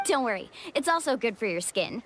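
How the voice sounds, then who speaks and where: A woman's voice: a little high, very animated, brisk, over loud music. A woman voicing a bubbly, friendly young surfer guide in an anime-style game, encouraging in manner. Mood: upbeat, explaining.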